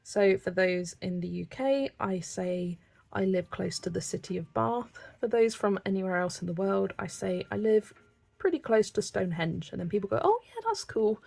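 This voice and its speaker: A woman's voice: mid-range voice, moderate pace, creaky. A woman from England, chatting casually and reading viewer comments while she colours on a livestream. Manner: chatty, relaxed, thinking aloud with small laughs.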